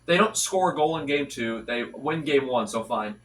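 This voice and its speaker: A man's voice: medium pitch, animated, moderate pace, a bit bright, recorded from a distance, a little hiss or hum. A young man with a congested, stuffy voice hosting a casual solo hockey recap, rattling off stats with frequent restarts and fillers. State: congested from allergies. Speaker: hockey commentary channel host.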